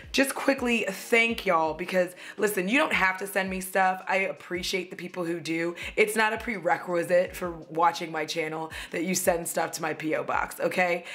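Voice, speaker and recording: A young woman, chatting casually while doing her makeup, somewhat hoarse from losing her voice, rambling and laughing often. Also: medium pitch, a bit bright. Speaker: beauty vlogger. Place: at home, indoors.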